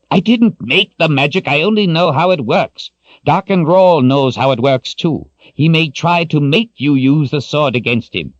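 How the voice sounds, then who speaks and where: A man's voice: fairly high pitch, no echo, dull audio, voice pushed up. Man doing a stern old wizard. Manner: urgent, emphatic warnings. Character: old male wizard, stern grim mentor.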